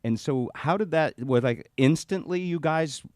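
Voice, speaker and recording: A man's voice: fairly high pitch, measured pace, darkish tone, dry recording, studio quality. An American man hosting a casual radio interview, exclaiming and asking rambling, conversational questions. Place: radio studio.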